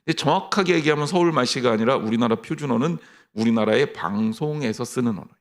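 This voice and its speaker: A middle-aged man preaching a sermon as pastor, lively, mixing mock dialogue with personal stories. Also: mid-range voice, quick pace.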